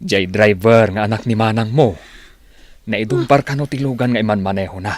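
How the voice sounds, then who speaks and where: A man's voice: fairly low pitch, fairly quick, dry recording, close to an everyday voice. A man with an Ilocano accent playing a talkative working husband and father in a radio drama. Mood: amused, gossipy.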